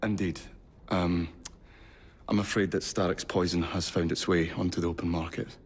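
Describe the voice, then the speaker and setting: An actor playing a courteous, earnest Victorian inventor in a video game, with formal, precise speech. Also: male voice, deep voice, flat delivery, moderate pace, breathy edge, big-room sound. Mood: matter-of-fact. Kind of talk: video game cutscene acting. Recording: music under the voice.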